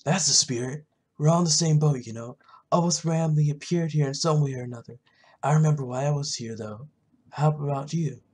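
A brash young builder from a game played by a gamer, friendly but pushy. The voice is husky, chummy and emphatic. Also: medium pitch, fairly quick, a little mellow, natural voice.

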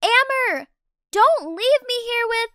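A woman's voice: extremely high pitch, expressive, slow, pushed and bright, no room sound, exaggerated voice. A young female voice actor playing a snappy, sassy teenage schoolgirl in an amateur game movie.

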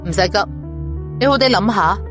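A man's voice: sits high, lively intonation, moderate pace, over music. A woman voicing a shrewd, insistent male police interrogator in an audiobook. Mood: pressing, probing. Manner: insistent, probing. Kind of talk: audiobook character voice.

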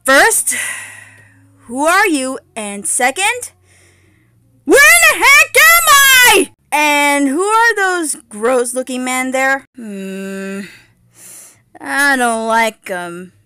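A woman's voice: high voice, very animated, extremely slow, very noisy, exaggerated voice. A woman voicing a feisty young warrior fairy princess, wary and bold, in dramatic, sassy amateur cartoon lines. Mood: suspicious, demanding. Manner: dramatic, sassy, hesitant stammers.

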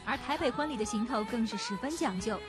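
A woman's voice: a little high, animated, quick pace. A woman reporter reading scripted entertainment news narration. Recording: loud music under voice. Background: loud music.

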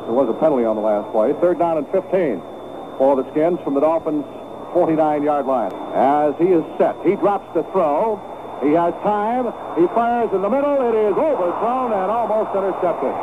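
A man doing folksy, partisan live radio play-by-play of a football game with vivid calls. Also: sits high. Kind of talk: live sports play-by-play commentary. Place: stadium broadcast booth. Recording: noisy 1970s AM radio broadcast, narrow band. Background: loud stadium noise.

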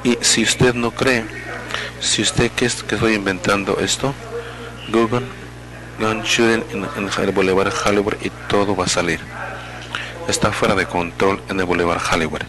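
A man interpreting live at a public meeting, speaking in halting, fragmented phrases and correcting himself as he goes. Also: fairly low pitch, fairly even intonation, rough recording. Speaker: simultaneous interpreter.

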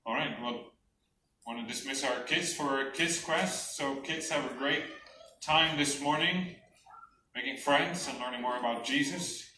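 A man's voice: medium pitch, unhurried, pushed and bright. A middle-aged man making informal announcements as a church host to the congregation. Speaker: church service host welcoming visitors. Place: church hall with stage and screen. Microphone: handheld mic, recorded by far camera. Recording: echoey church hall, distant mic, noisy.

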